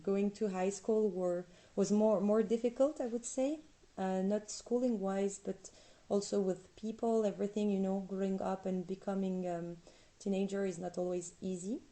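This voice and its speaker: Mellow-voiced woman from France. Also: medium pitch. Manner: chatty, light laughs between phrases. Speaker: guest. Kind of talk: casual interview.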